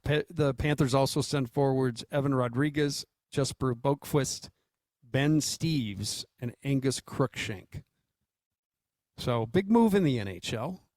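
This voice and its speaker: A man's voice: medium pitch, lively intonation, slow pace, a little mellow, dry recording. An American man co-hosting a sports radio show with casual, informal and joking talk.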